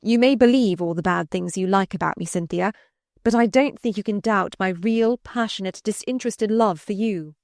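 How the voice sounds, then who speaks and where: A woman voicing an insistent, possessive gentleman suitor in a storybook reading, speaking formally and with emphasis. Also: mid-range voice, moderate pace, no room sound, clean studio sound, lightly acted. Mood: wounded. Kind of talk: dramatised audiobook reading.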